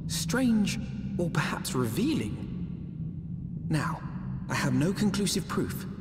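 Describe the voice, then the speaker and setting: A man's voice: high-pitched, sing-song, exaggerated voice. An actor playing an earnest, eloquent young nobleman in a video game, speaking formally and articulately. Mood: earnest, deliberate. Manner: formal, articulate, measured rhetoric. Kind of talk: dramatic video game voice acting. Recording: echoey hall, loud music underneath.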